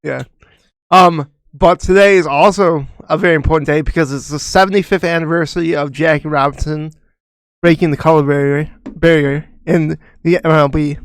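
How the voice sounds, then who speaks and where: Young male co-host, earnest and halting, slightly high voice, dry close sound. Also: fairly even intonation, clean tone, dry recording. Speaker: podcast co-host. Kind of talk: casual chat.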